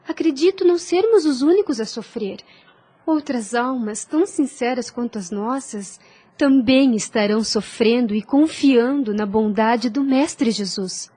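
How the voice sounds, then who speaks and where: An actress playing a devout, gentle young daughter in a radio drama, speaking earnestly and formally. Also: female voice, fairly high pitch, breathy edge. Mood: earnest, resolute. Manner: earnest, formal, measured speech.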